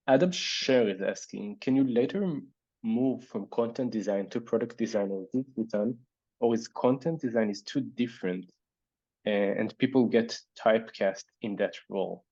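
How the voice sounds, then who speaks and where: Hebrew-accented man with a low, dark voice. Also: measured pace, no echo, dull audio. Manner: thoughtful, hesitant, many fillers and restarts. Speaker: event host reading audience questions. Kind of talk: casual online panel Q&A.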